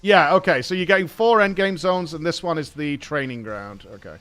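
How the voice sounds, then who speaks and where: A man's voice: fairly high pitch, a little fast, fairly bright tone, clean tone, faint music behind. A British man hosting a gaming show, giving casual, blunt live reactions and thinking aloud.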